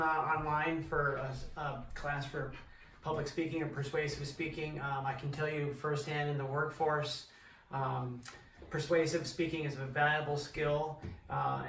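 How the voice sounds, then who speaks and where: Man, parent testimonial, creaky voice, flat intonation, distant mic. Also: on the high side. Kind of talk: informal testimonial speech. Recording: echoey room, distant mic, faint noise.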